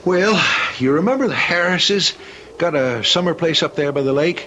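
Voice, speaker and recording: An actor in an old-time radio drama playing a folksy, worried small-town storekeeper, with a hesitant, homespun manner. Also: male voice, on the high side, expressive, normal speed, bright, forceful tone, a little hiss or hum. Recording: dull old radio recording.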